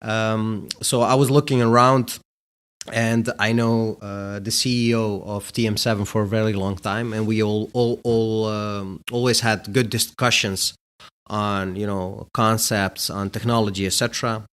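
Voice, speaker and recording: A man's voice: on the low side, little pitch movement, a little slow, a bit bright, clear, resonant tone. A middle-aged man from Bosnia, a guest answering interview questions in a casual, hesitant way with many fillers. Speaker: guest, company executive.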